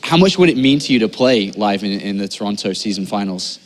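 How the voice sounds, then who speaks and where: A man's voice: on the low side, expressive, a little fast, in a large hall. A man interviewing a player after a match, asking upbeat, rambling questions.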